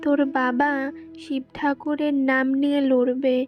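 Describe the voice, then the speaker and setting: A woman's voice: high voice, level tone, a little slow, dull audio, faint music behind. A woman voicing a caring, steadfast village farmer's wife in a cartoon, reassuring and firm. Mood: firm, protective.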